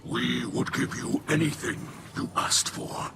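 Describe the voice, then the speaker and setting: An actor voicing a humble, dignified alien leader in a video game, gravelly, halting, solemn and earnest. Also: male voice, very noisy, close to an everyday voice. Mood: pleading dignity. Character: humble, dignified male alien leader pleading for his people. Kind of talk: dramatic video game dialogue.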